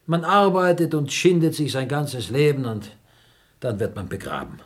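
An actor playing an older rich uncle in a radio play, miserly, grumbling and emphatically pleading poverty. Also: male voice, fairly high pitch, very animated, normal speed. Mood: indignant, lamenting. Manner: agitated, complaining, emphatic.

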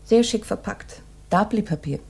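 A woman's voice: mid-range voice, normal speed, creaky, mellow, husky. An actress playing a breezy, teasing young woman who speaks in short remarks in a crime radio drama.

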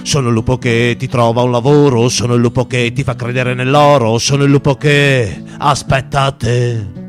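A man's voice: medium pitch, fairly even intonation, normal speed. A man performs a repetitive, incantatory ballad as a singer-guitarist, drawing out the ends of his refrains. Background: acoustic guitar.

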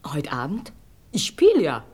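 An actress playing a seductive, mocking stage star in a radio play, with a teasing, imperious and dramatic way of talking. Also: female voice, sits low, huge pitch swings, measured pace, darkish tone, slightly rough, full character voice. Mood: seductive, commanding.

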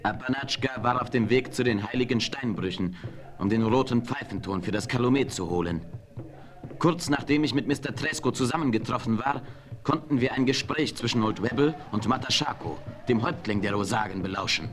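An actor playing an earnest Comanche chief in a radio play, reporting events plainly. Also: male voice, medium pitch, brisk, crowd noise, close to an everyday voice. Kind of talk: 1970s radio play acting.

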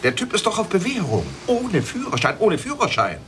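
A man's voice: high voice, huge pitch swings, normal speed, over loud music. An actor playing an obsessive old eccentric who witnesses accidents, repeating his phrases theatrically in a German radio play. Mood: excited, eager. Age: older. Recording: faintly noisy old cassette radio recording.

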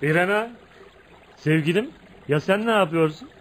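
A man's voice: high-pitched, normal speed, slight background noise, natural voice. An actor playing a brooding, jealous man of volatile temper in a dramatic, intense radio theatre style. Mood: menacing. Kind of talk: Turkish radio theatre acting.

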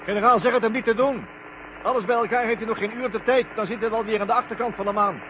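An actor playing a cautious, duty-minded astronaut co-pilot, practical and wary, in an old radio drama. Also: male voice, high voice, steady tone, fast, lightly acted. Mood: worried. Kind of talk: 1970 Dutch radio drama acting. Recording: dull, faintly noisy old radio recording.

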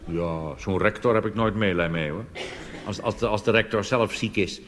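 Dutch cabaret comedian in a reverberant hall. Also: male voice, lowish voice, normal speed. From Netherlands. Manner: deadpan punchlines with animated put-on voices. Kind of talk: stand-up monologue. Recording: reverberant hall with audience noise.

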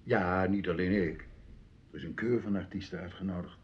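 An actor in a Dutch radio play, playing a courteous travelling accordion player with formal, florid manners. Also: male voice, low-pitched, moderate pace, close to an everyday voice. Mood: boastful. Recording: dull lo-fi radio play recording.

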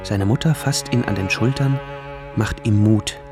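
An actor playing a brooding narrator in a radio drama, reciting terse screenplay directions in a deliberate manner. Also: male voice, on the low side, over music, lightly acted.

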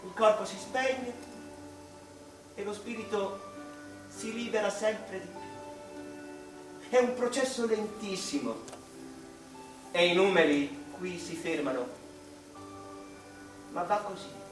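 An actor playing an anguished, reflective English mathematician in a theatrical radio monologue, slow and dramatic, with pauses between short phrases. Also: male voice, high-pitched. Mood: rapt. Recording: roomy, distant stage-like sound, music behind.

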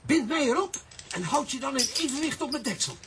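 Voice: high-pitched, lively intonation, a little fast, background noise. A performer playing a small, loyal talking coffee pot in a children's radio play, eager and exclaiming. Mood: urgent.

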